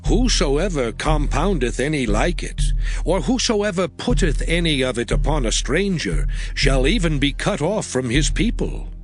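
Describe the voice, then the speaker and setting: A man playing God giving laws, solemn, stern and measured, in a declamatory dramatized scripture reading. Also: fairly high pitch, sing-song. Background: music.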